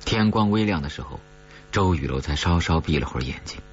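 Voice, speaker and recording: Very deep-voiced man narrating horror fiction. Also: animated, fairly quick. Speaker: audiobook narrator. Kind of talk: scripted narration of a horror story. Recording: dull recording with mains hum.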